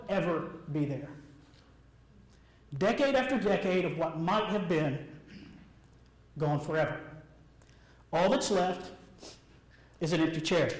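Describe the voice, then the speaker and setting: An American man speaks in a high voice that rings with the echo of the room. He delivers a personal testimony as a prepared speech to an audience. His phrasing is short and deliberate, with earnest emphasis and repetition. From Alabama, United States. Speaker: motivational speaker. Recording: echoey room sound.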